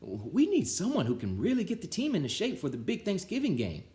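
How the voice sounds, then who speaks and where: A man voicing excitable, mischievous schoolkids from a children's storybook. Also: sits high, a little fast, voice pushed up. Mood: excited. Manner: exclaiming, eager. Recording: roomy, echoing sound.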